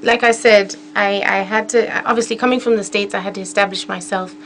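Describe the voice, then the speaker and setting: A woman from Kenya, a singer-songwriter, talking casually in an interview, relaxed and laughing easily. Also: medium pitch, a little hiss or hum, poor audio. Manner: relaxed, laughs often, drawn-out phrasing.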